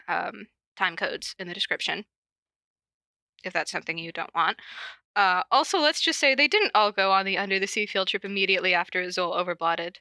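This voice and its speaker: A woman's voice: mid-range voice, pushed and bright, no room sound. A woman hosting a podcast in a casual, giggly, self-mocking and informal way, introducing readings. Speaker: podcast host introducing fan fiction readings. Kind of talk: casual chat with read-out summaries.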